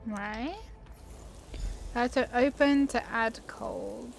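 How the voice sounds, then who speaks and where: A woman's voice: on the high side, drawn-out words. A woman doing casual let's-play commentary on a video game, informal and thinking aloud as she plays. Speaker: gaming YouTuber. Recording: clear background noise.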